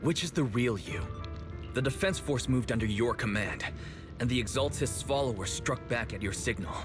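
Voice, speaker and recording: Calm deducing young man, voiced by a man, cool and analytical, over cutscene music. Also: mid-range voice, normal speed, lightly acted. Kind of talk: video game cutscene dialogue.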